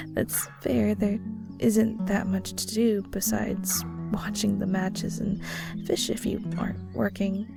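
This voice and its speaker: A woman playing a shy, kind ghost in an intimate audio roleplay, speaking softly and hesitantly with stammers and nervous little laughs. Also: mid-range voice. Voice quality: soft, breathy. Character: shy, kind female ghost shade, awkward and caring. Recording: soft guitar music behind. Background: gentle guitar music.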